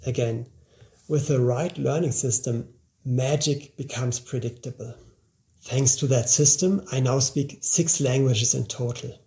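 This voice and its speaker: Male presenter, 40s, for a motivational promotional narration. Mellow timbre with noticeable fry, talks straight to the listener. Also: medium pitch. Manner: motivational, direct address to the listener. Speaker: presenter promoting his language course.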